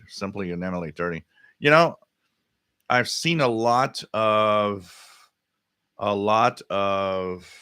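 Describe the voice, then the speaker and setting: A man hosting a casual live stream, reading out comments and answering them in a halting, thinking-aloud way. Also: lowish voice, sharp tone, dry recording, studio quality. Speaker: livestream host.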